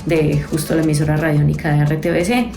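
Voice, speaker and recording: A woman's voice: low-pitched, fairly even intonation, brisk, gravelly. A woman giving her opinion as a viewer in a casual testimonial. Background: music.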